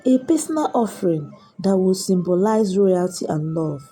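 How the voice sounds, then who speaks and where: A woman's voice: lowish voice, animated, moderate pace, mellow, slightly rough. A woman reading a coaxing young husband. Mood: persuasive. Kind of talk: storybook character dialogue. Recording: music behind the voice.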